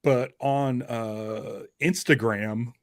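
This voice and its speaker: A man hosting a podcast in an easy conversational style. His voice sits at an average pitch and is recorded dry, with hardly any room sound. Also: fairly even intonation, drawn-out words, dry recording. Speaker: podcast host. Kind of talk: casual podcast chat.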